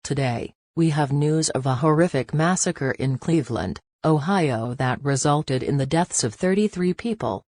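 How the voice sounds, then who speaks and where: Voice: medium pitch, normal speed, hardly any pauses, a little mellow, dry recording, natural voice. A performer playing a female TV news anchor, reading a grim scripted report formally. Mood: formal, grave.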